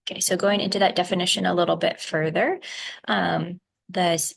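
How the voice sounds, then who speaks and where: A soft-voiced young woman lectures on medicine over a video call, picked up by a webcam mic in a small room. Her voice sits low and carries a gravelly fry, and she talks hesitantly, filling gaps with ums and correcting herself. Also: steady tone, a little fast. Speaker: physician presenter.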